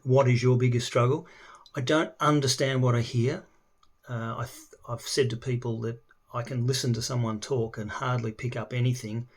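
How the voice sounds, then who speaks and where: A middle-aged man with an Australian accent chats loosely about himself, stopping often to hunt for words and filling the gaps with ums. His voice sits at a middling pitch and carries a gravelly rasp at the ends of phrases. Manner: hesitant, many ums and restarts. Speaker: language learner. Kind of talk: casual self-introduction video.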